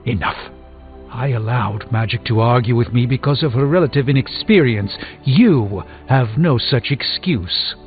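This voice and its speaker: A man's voice: medium pitch, measured pace, lightly acted. A man playing a proud, wry sorcerer and mentor in a video game, speaking in a formal, articulate, dryly pompous way. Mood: indignant. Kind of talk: scripted video game dialogue. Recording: phone-like narrow band, music behind.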